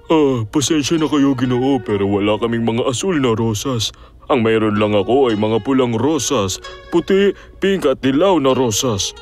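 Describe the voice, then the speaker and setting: Cartoon storybook role: male actor as deferential shopkeeper, apologetic, mid-pitched, faint music behind. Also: normal speed, a little mellow, close to an everyday voice. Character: apologetic, polite flower shopkeeper. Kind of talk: animated fairy tale dub acting.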